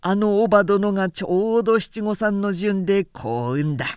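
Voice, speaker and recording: A woman's voice: medium pitch, normal speed, a little mellow, smooth, no echo, clean studio sound, close to an everyday voice. A woman voicing a garrulous, self-important middle-aged samurai man who lectures at length in a storybook reading. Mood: chatty, self-satisfied. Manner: long-winded, pompous, lecturing.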